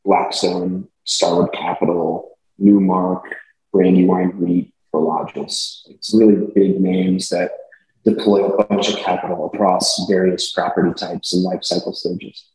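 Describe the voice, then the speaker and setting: Executive guest, low-voiced man, explanatory, roomy sound. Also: measured pace, gravelly. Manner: explanatory, businesslike, relaxed. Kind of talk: casual interview answers.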